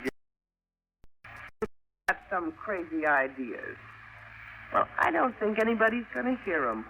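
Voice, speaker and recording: An actress playing a hard, scornful American crook's wife with a gun, slangy and sneering, in a 1950s radio drama. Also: female voice, fairly low pitch, sing-song, unhurried, pushed and bright, full character voice. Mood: scornful, threatening. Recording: muffled, noisy old radio recording.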